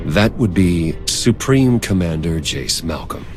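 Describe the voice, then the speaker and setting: A dry, wry male intelligence agent in a space game. His voice is deep and animated, with an informal way of talking. Loud music plays behind him. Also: unhurried. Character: wry, casual male spy advising his commander. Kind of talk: video game cutscene acting. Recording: loud music under dialogue.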